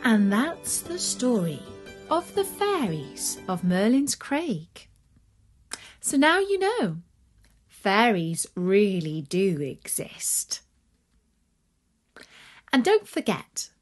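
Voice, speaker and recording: A woman narrating a children's fairy tale in an expressive, deliberate storytelling manner. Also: medium pitch, faint music behind.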